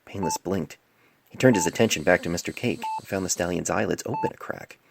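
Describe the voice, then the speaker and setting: A man with a deep voice and frequent vocal fry narrates a story in a measured, literary way. It is an amateur reading on a cell phone mic, with noticeable noise behind the voice. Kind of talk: scripted dramatic story narration. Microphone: cell phone microphone.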